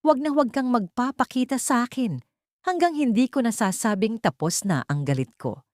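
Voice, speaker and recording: An angry old patriarch in a storybook drama, put on by a woman narrator. He is disgusted, curt and commanding. His pitch swings widely, and the sound is dry and close. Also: lively intonation, a little fast, darkish tone, no echo, lightly acted. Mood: furious, disgusted. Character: furious, disgusted old wealthy father disowning his daughter.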